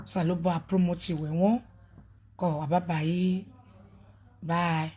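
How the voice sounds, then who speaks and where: Husky-voiced Nigerian woman, emphatic. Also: little pitch movement, slow pace. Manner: emphatic, switches between pidgin and a local language; swings from loud outbursts to a lowered voice. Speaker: prophetess. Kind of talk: live video monologue.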